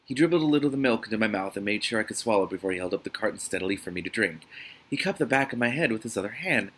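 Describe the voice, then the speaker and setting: A man reading a novel aloud as host of a reaction series, in a dramatic, expressive manner. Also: fairly low pitch, very fast.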